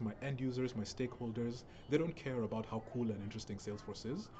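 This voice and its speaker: A young man from Kenya gives a recorded talk to an event audience, explaining things and repeating phrases for emphasis. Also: mid-range voice. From Kenya (Nairobi). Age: young adult. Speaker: speaker, software professional. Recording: steady background noise.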